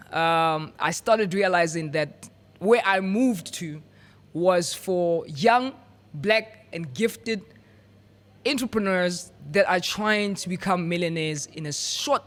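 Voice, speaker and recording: A man's voice: sits high, slow pace, a bit bright. A man hosting a podcast, playful and hyped, often repeating his words. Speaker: podcast host.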